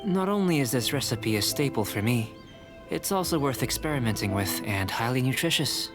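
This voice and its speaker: A composed young alchemist voiced by a man speaks at an even middle pitch, polite and scholarly. He sounds curious and measured, like a researcher explaining an experiment. Game music runs underneath. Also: natural voice. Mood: calm. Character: calm curious young male alchemist scientist. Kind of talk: video game voice acting.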